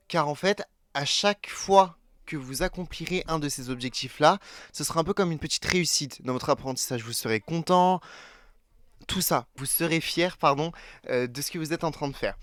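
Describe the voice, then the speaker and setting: Teen male vlogger from France, chatty and self-correcting, dry close sound, mid pitch. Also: fairly bright tone, no room sound. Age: teens. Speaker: YouTuber. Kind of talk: casual talk to camera.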